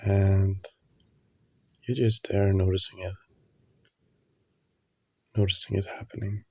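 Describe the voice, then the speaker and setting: Slow, low-voiced man guiding meditation. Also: no room sound. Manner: calm, soothing, slow with long pauses. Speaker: meditation guide. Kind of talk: guided meditation. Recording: dull, muffled lo-fi audio.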